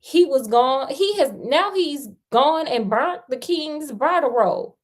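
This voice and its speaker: Grumbling storybook servant put on by a woman, high, swooping and indignant. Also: animated, takes it slowly. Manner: indignant, complaining. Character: grumbling, indignant royal servants in a fairy tale.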